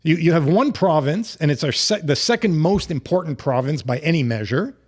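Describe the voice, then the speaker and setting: A man co-hosting a podcast, arguing politics in quick, interrupting bursts. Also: on the high side, expressive, a little mellow. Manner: interrupting, argumentative, fast bursts. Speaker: podcast co-host. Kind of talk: political debate chat.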